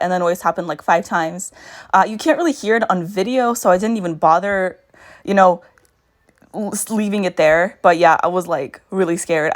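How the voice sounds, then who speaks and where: Raspy-voiced woman chatting through a tutorial. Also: medium pitch. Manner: chatty, storytelling asides. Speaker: makeup vlogger. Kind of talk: casual voice-over tutorial.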